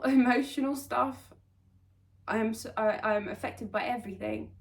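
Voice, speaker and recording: A woman from Sweden telling her own life story to camera, earnest and reflective, with halting restarts. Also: medium pitch. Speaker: vlogger. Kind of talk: personal confessional storytelling. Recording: voice slightly distant from the mic.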